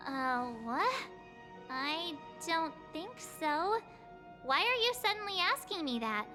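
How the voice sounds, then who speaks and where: A woman voicing a perky, expressive teenage girl adventurer in a video game, bubbly and exclamatory. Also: very high-pitched, slow, full character voice. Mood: uncertain. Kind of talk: animated game voice acting. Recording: loud game music behind.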